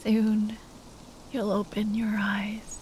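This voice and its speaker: A woman playing a tender, grieving spellcaster lover in an ASMR roleplay, speaking in a breathy, halting whisper. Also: medium pitch, monotone, a little mellow, slight background noise, close to an everyday voice, rough recording. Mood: tender, sad. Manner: halting, tender, sighing delivery.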